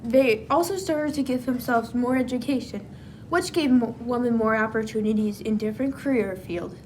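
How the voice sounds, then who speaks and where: A woman with a fairly high voice reads a scripted news report. The recording carries a faint noise with some low rumble under her voice. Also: normal speed, a little mellow, low-quality recording. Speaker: news reporter in a student history project.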